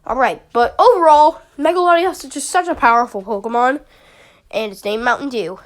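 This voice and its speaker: A woman's voice: sits high, brisk. A young woman with a sniffly summer cold, chatting casually and rambling about her team picks, with many fillers. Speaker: YouTuber analyzing a draft league team. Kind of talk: casual commentary.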